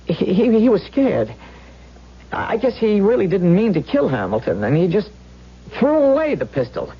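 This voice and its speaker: An anxious policeman in a vintage radio drama. His voice is husky and he stammers intensely, as if haunted. The sound is dull and muffled. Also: high voice, huge pitch swings, moderate pace, full character voice. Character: anxious, haunted male policeman confiding in wife. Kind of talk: 1970s radio drama acting.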